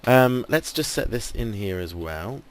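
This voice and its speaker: A man's voice: fairly low pitch, lively intonation, a little slow. An English man commentating casually on his game, thinking aloud with many ums, while losing his voice. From England. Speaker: gaming video host. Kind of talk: casual let's play commentary.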